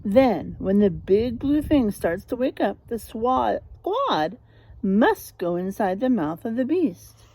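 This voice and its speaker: A woman voicing a wise old storybook owl who deliberately sets out a cunning plan step by step. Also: fairly high pitch, very animated, dark tone, no echo, heavily acted, rough recording. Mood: deliberate, scheming. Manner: deliberate, instructive, counting off steps.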